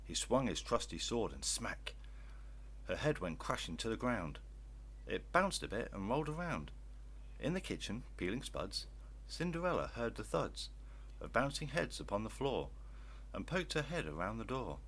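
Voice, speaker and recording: An older man reading rhyming children's stories aloud in an expressive, theatrical style. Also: on the low side, normal speed. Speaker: children's story reader.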